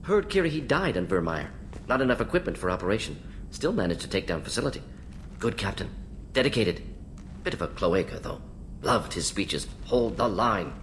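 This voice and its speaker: A clipped, rapid-fire alien scientist. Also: male voice, fairly low pitch, very animated, a bit bright, a little hiss or hum. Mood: clipped, brisk. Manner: clipped telegraphic sentences, dropping articles. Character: fast-talking alien scientist, male, clipped and restless. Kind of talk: video game dialogue.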